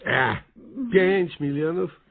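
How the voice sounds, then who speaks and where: An actor playing a pompous, officious small-town mayor who fumbles for words in a radio comedy. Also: male voice, fairly high pitch, slow, creaky. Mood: dictating. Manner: officious, pompous, hesitant fillers. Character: pompous small-town mayor, self-appointed theatre director. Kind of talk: radio comedy drama acting. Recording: muffled, noisy, phone-like radio recording.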